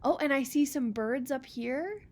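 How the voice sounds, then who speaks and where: A woman's voice: high-pitched, a little slow, darkish tone. A woman reading a children's picture book aloud as storyteller, expressively, with chatty casual asides.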